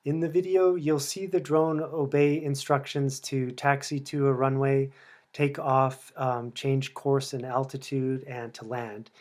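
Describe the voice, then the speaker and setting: A man explaining a technical demo in a measured, deliberate way. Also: medium pitch, little pitch movement, a little mellow. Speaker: presenter demonstrating a homemade drone project. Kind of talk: explanatory demo narration with radio-style instructions. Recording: clear background noise.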